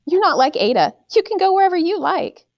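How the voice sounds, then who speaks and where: Woman acting a harsh, scolding mother, pitched up. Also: sing-song, brisk, heavily acted. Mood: pointed. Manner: scolding, cutting. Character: harsh, scornful, abusive working-class London mother.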